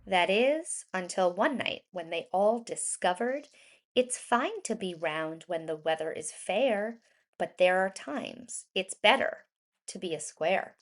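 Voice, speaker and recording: A woman reading a rhyming children's story aloud in an expressive, deliberate storytelling manner with emphasized words. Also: mid-range voice. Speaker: children's storybook reader, educator.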